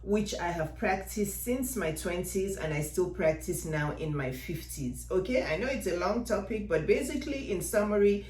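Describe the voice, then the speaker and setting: A woman's voice: on the low side, expressive, normal speed, hardly any pauses, darkish tone, slight background noise. A woman in her fifties from Nigeria, giving direct, encouraging motivational advice to viewers in a vlog. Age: 50s. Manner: direct and encouraging, addresses viewers, repeats key points. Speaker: women's life coach. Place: home workout room. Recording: echoey room.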